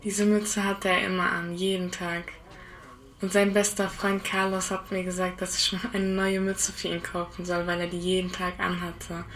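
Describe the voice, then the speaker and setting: A woman's voice: lowish voice, completely flat delivery, normal speed, fairly bright tone. An eighteen-year-old woman giving a plain personal recollection. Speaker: bereaved sister giving testimony. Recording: distant mic with faint ambient noise.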